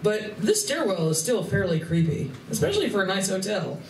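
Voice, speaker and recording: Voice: medium pitch, sing-song, a little fast. A performer playing a skeptical, composed female animal adventurer with a dry, questioning manner in a live radio play. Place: live stage reading at a convention. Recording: echoey room, distant mic, faint noise.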